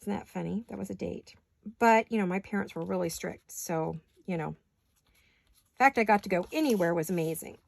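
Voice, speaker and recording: A woman's voice: on the low side, a little fast, gravelly, a little mellow, dry recording. A middle-aged woman rambling chattily while she works on paper crafts in her home studio, joking at her own expense. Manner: rambling, self-deprecating, talks while working. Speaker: craft vlogger.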